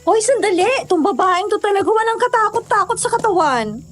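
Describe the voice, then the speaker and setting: A cheerful young Filipino woman hiker , played by an actress, skeptical of folklore, in an audio drama. Her voice is high and swings animatedly, over faint night-insect ambience. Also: lively intonation, a little fast, a little hiss or hum. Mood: alarmed. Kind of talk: conversational audio drama acting.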